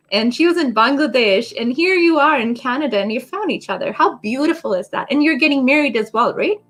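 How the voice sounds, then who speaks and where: A woman's voice: fairly high pitch, fairly bright tone. A young woman hosting an interview, effusive and complimentary toward her guests. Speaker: interview host. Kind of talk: friendly interview chat.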